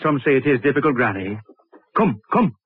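A man's voice: medium pitch, very animated, normal speed, breathy edge. An actor playing a sly, murderous rogue who coaxes and repeats his words in a 1950s radio drama. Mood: wheedling. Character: wheedling, sly murderous rogue of old Edinburgh. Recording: tinny, dry old radio recording.

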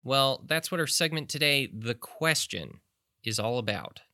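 An actor playing a thoughtful public radio documentary host, narrating in a measured, inquisitive storytelling style. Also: male voice, mid-range voice, lively intonation. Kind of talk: scripted narration.

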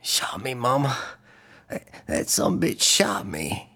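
Whimpering, creaky wounded son. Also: male voice, mid-range voice, sing-song, takes it slowly, bright, forceful tone, heavily acted. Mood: pained whimpering. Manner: whimpering, stammering. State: gasping, in pain. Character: wounded, whining grown son of a crime matriarch, pleading.

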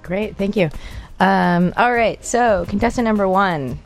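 A woman asking dating-game contestants questions in a casual, hesitant way with many pauses. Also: medium pitch, creaky, soft background music. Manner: hesitant, lots of ums.

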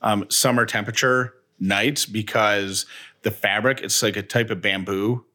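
A man's voice: on the low side, steady tone, measured pace, pushed and bright. A man co-hosting a podcast, giving conversational, folksy and enthusiastic sponsor reads. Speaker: podcast co-host reading sponsor ads. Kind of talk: conversational ad read.

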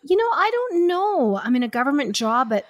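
A woman's voice: high-pitched, big pitch swings, moderate pace, no room sound, dull audio. An older woman hosting a podcast, chatting casually and telling stories. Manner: chatty, storytelling. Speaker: podcast host. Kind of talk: casual chat.

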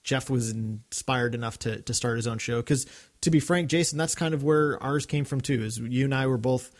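A man's voice: mid-range voice, normal speed. A man co-hosting a fan podcast, reading listener mail and chatting casually, laughing easily. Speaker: podcast co-host.

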